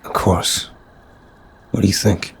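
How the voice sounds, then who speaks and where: A man's voice: slow pace, a little hiss or hum, close to an everyday voice. A man playing an apologetic, nervous but charming mafia boss in an ASMR roleplay, chatty, wry and flirtatious. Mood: tender, flirtatious.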